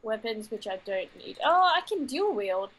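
A woman's voice: on the high side, very animated, normal speed, a bit bright, rough recording. A young woman co-hosting a gaming video, chatting casually and thinking aloud about her items while she plays. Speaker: co-host gaming with friend. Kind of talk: casual co-op gameplay chat.

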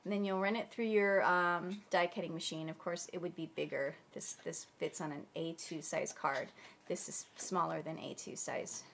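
A woman's voice: lowish voice, steady tone, normal speed, creaky, fairly bright tone, slight background noise. A woman hosting a craft tutorial, chatty and a bit stuffy from a cold, explaining step by step at her table. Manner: chatty, friendly, searches for words. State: stuffy, under the weather. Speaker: crafting channel host. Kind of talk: casual tutorial demonstration.

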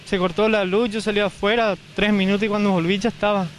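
A young man with a notably high voice talks haltingly into a handheld reporter mic, stopping and repeating words. He is outdoors, and clear background noise sits under his voice in the field recording. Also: level tone. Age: young adult. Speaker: homeowner interviewed after fire. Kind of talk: on-the-spot news interview. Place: outdoors at a burned house site.

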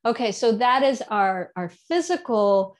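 Calm woman guiding a yoga class. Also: fairly high pitch. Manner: calm, unhurried cueing with soft trailing endings. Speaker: yoga teacher. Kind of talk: guided yoga instruction. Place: living room at home, seated on a chair.

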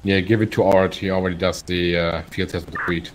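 Low-pitched man, casual police role-play. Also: little pitch movement, brisk.

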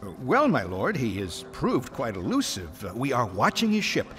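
An actor playing a nervous, obsequious military underling in a science-fiction audio drama, stammering and fawning. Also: male voice, medium pitch, huge pitch swings, moderate pace, a bit bright, faint music behind.

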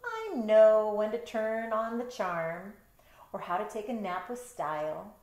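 Woman reading as a smug, playful storybook cat, lively pitch. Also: unhurried. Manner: self-satisfied, instructive. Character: smug, playful cat explaining its carefree ways. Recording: roomy bedroom sound.

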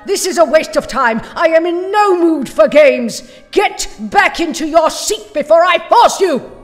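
Villainous woman scientist, acted: imperious, disdainful, unhurried delivery, long reverb, faint music. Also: extremely high pitch, expressive. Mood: irritated. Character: haughty, scornful female renegade scientist. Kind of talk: audio drama acting.

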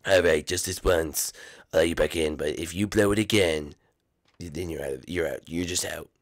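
A bossy Koopa big brother, voiced by a man, deep-voiced and blunt. He lays down the rules flatly in a cartoon skit, no nonsense. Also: moderate pace. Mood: stern.

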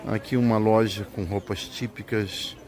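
A man's voice: fairly low pitch, steady tone, moderate pace, darkish tone. A middle-aged Brazilian man vlogging casually on a handheld phone as he walks through a large indoor market. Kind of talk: casual walking tour narration. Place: large indoor swap meet. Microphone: handheld phone camera. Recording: busy indoor market crowd behind.

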